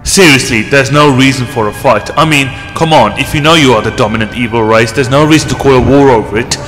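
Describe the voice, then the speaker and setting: A man's voice: mid-range voice, a little fast, fairly bright tone, big-room sound, faint music behind, lightly acted, poor audio. A man playing a flippant, unflappable time-travelling adventurer in a fan audio drama, breezy and teasing.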